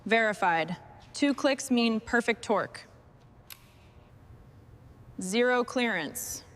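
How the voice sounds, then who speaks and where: A woman's voice: a little high, animated, slow, fairly bright tone, slight background noise. A woman working as a mechanic, giving short workshop instructions and commentary on a truck restoration.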